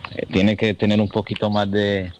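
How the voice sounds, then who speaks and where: A man's voice: on the low side, monotone, moderate pace, lo-fi sound, a loud crowd. A man calling a radio show, easygoing and blunt. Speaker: caller. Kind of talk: phone-in comment.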